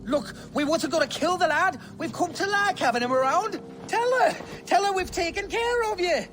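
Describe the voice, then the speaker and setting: An actor playing a cowardly, wheedling lowlife sellsword with pleading, colloquial, ungrammatical speech in a game cutscene. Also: male voice, animated, moderate pace, background noise. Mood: defensive. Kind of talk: video game cutscene acting.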